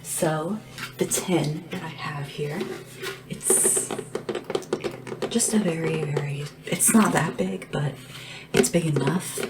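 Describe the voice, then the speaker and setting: A small, caring assistant to a dragon ruler, acted by a woman in an ASMR roleplay. The voice is low and soft, often slipping into a whisper, with an unhurried, deferential manner. Clear noise and tapping sit behind the lo-fi recording. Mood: playful, caring. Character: small, devoted, gentle assistant to a dragon monarch. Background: tapping and background hiss.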